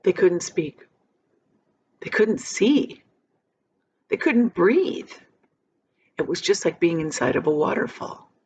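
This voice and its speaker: A woman reading a children's book aloud like a teacher, expressive and dramatic, with emphatic pauses. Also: on the low side, vocal fry, a little hiss or hum. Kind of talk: expressive storybook read-aloud.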